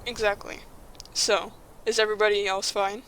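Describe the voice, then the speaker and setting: A woman playing a calm, practical, reassuring young group leader in an audio drama, with steady delivery. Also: medium pitch, moderate pace, slight background noise, natural voice.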